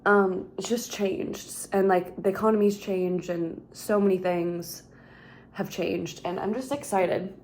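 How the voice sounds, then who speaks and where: Brief: woman, 30s, rambling casual vlogger, mid-pitched. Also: steady tone, a little slow, darkish tone, a little hiss or hum. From Minnesota, USA. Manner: rambling, chatty, heavy use of "like". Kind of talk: casual vlog chat. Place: her home.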